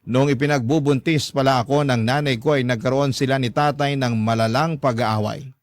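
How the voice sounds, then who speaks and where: A man's voice: mid-range voice, steady tone, normal speed, dry recording, studio quality. A man reading a scripted first-person love story as the narrator of a radio drama.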